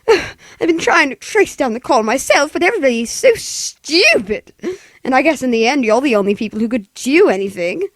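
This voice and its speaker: A man's voice: very high-pitched, sing-song, normal speed, fairly bright tone, no room sound, full character voice. A man voicing a demanding, hysterical wealthy invalid woman in an old radio play, imperious and exasperated. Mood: anxious urgent. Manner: imperious, exasperated, breathless urgency. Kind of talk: 1940s radio play acting.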